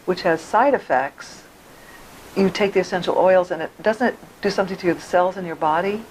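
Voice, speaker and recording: A woman hosting an interview show, hoarse today, chatty and quick to laugh. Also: on the low side, darkish tone, slight background noise, rough recording. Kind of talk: casual interview.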